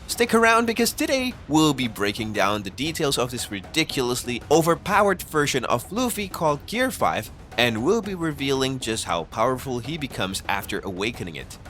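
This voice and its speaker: A man narrates a scripted explainer in a mid-pitched voice. His intonation is very animated, rising and falling often. Faint music plays behind him. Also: normal speed, few pauses. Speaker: narrator of an anime explainer video.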